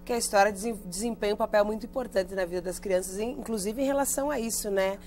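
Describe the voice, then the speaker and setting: A woman's voice: mid-range voice, fast talker, a little mellow, slight background noise. Conversational woman hosting a TV interview. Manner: conversational, prompting guests with follow-ups. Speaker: TV interview host. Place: TV studio.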